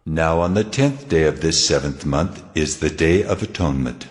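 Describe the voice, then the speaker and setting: A deep, echoing, commanding God. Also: male voice. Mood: solemn, commanding. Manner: solemn, measured, commanding. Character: God, commanding solemn lawgiving male deity. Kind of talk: dramatized scripture reading. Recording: long cavernous reverb, faint music.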